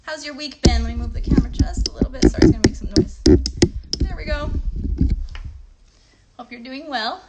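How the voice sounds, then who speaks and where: A woman's voice: high-pitched, lively intonation, a little fast, long pauses, darkish tone, a little hiss or hum. A woman hosting a children's livestream, chatting casually to young viewers with cheery, sing-song greetings. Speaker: host of children's livestream, mother.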